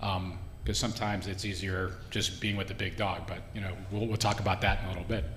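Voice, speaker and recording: Male panel moderator, lowish voice, casual stop-start delivery, echoey hall. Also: flat delivery, fairly bright tone. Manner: casual, halting, repeats words. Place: conference hall. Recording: echoey room, faint hum.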